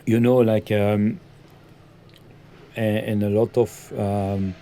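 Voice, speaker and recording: Halting low-voiced man from Belgium. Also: flat delivery, warm tone. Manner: halting, many ums, loose grammar. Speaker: football coach. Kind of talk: interview answers.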